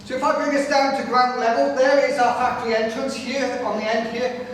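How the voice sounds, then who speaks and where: A man with a naturally loud voice gives a chatty local history talk, often checking in with "right?". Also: extremely high pitch, flat delivery, a little fast, slight background noise. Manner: chatty, addresses the audience directly with frequent "right?". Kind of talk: public history talk to a live audience. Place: large hall with pillars, live audience. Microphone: no microphone, far room pickup. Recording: echoey hall from far off.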